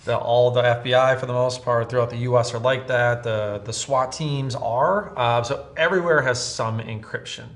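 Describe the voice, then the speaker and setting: Casual American male co-host, medium pitch, conversational tutorial delivery, restates points for emphasis. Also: normal speed, hardly any pauses, fairly bright tone. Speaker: co-host, police scanner seller. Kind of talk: casual explanatory talk in a tutorial video.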